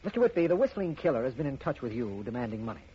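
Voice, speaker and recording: An actor playing a polite, businesslike district attorney, measured and courteous, in 1940s radio acting. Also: male voice, mid-range voice, sing-song, dark tone, breathy edge. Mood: calm. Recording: muffled old radio broadcast.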